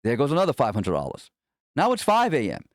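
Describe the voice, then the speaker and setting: A man's voice: medium pitch, wildly swooping pitch, fast talker, dry recording, clean studio sound. A man reading a first-person memoir aloud in a conversational, blunt and wry way. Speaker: narrator reading a book chapter aloud.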